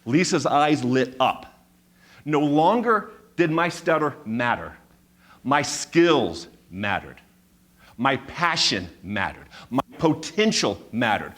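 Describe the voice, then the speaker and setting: A man in his fifties telling a personal story on stage, with a stutter that repeats sounds and words, building his points through measured, emphatic repetition. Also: mid-range voice, sing-song, fairly bright tone. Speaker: speaker giving a talk.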